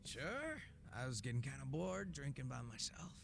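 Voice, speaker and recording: An actor playing an easygoing, tipsy gangster boss in a video game, talking in a casual, slangy drawl with a wistful streak. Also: male voice, medium pitch, very animated, moderate pace, creaky. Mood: relaxed, tipsy. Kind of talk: video game cutscene dialogue.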